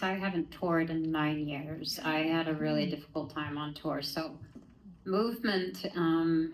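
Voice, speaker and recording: A woman's voice: deep voice, a little hiss or hum. A woman actor and singer answering candidly and chattily on a premiere panel. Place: theater stage at a premiere. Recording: distant echoey theater mic.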